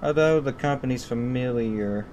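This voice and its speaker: A man hosting a gaming video, reading visual novel narration aloud haltingly and repeating phrases. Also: medium pitch, a little mellow, a little hiss or hum.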